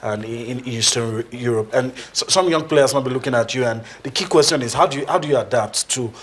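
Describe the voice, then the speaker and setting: Tv co-host guy asking long careful questions, middle-pitched. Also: a little fast, slight background noise. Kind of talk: morning TV sports show.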